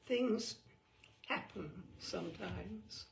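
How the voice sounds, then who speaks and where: A woman's voice: medium pitch, extremely slow, creaky, roomy sound, a little hiss or hum. A woman reading a humorous mock guidebook aloud in an arch, theatrical, knowing manner. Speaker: storyteller reading a book aloud.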